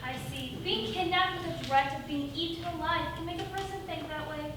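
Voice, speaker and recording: A woman's voice: very high voice, a little fast. A woman playing an earnest, declamatory prosecutor in a theatrical fairy-tale courtroom comedy. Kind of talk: theatrical stage comedy acting. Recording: distant, very echoey, noisy stage recording.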